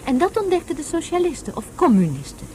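A woman's voice: high-pitched, wildly swooping pitch, fast, darkish tone, slight background noise. An actress playing a patient woman tutor in a radio play, explaining things didactically as if to a child. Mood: instructive. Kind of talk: Dutch radio play acting.

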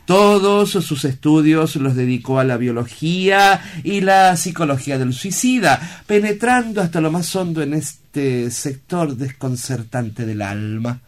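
A man plays an earnest sanatorium director in a radio drama. His slightly high voice is solemn and eloquent, and he declaims like a lyrical storyteller. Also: expressive, unhurried, few pauses. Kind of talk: theatrical radio play acting.

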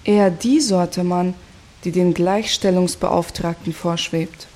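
An actress playing a betrayed, bitter female commune dropout in a radio play, speaking in a reflective, wry, measured way. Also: fairly low pitch, fairly even intonation, warm tone. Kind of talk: radio play dialogue and monologue.

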